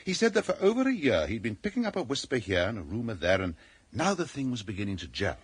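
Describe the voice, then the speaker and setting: A man's voice: lowish voice, huge pitch swings, fast talker, slightly rough, no room sound, full character voice. A man playing an earnest, authoritative British intelligence chief in a radio drama, formal and clipped in manner. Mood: earnest, brisk.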